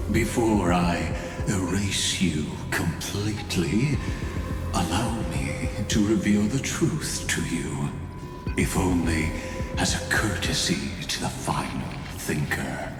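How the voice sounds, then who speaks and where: In a long, echoing hall over clear music, a godlike mastermind villain from a video game holds forth. His voice is very low, and he is grandiose and deliberate, coldly courteous as he mocks and threatens. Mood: cold, superior. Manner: slow, grandiose, deliberate, mockingly polite.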